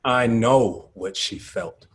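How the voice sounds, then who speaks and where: A man acting a weary, guarded activist in a screenplay table read, subdued, terse and halting. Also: mid-range voice, animated, gravelly. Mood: bitter, guarded. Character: weary, guarded male animal rights activist.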